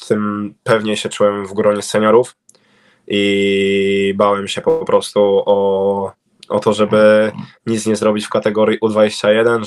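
A man's voice: lowish voice, clear, resonant tone. A young man answering interview questions over a video call from a room at home, hesitant with many fillers and restarts. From Poland. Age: young adult. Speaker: interviewed speedway cycling rider. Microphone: video call webcam.

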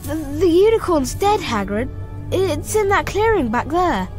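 High-voiced earnest English schoolboy. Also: female voice, very animated, unhurried, darkish tone, exaggerated voice. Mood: shaken, questioning. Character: earnest anxious young schoolboy hero. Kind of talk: dramatic game cutscene dialogue. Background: music.

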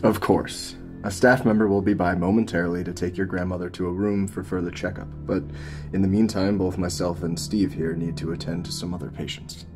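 A man's voice: sits low, little pitch movement, fairly quick, soft background music, natural voice. Actor as commanding, exasperated hospital doctor, male. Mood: brisk, composed. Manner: commanding, sharp, questioning. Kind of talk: horror audio drama acting.

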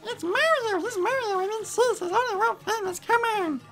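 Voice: extremely high pitch, moderate pace, fairly bright tone, faint music behind. A performer voicing a small, earnest young male bug creature in a video game, whiny and flustered. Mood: exasperated. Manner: whiny, pleading.

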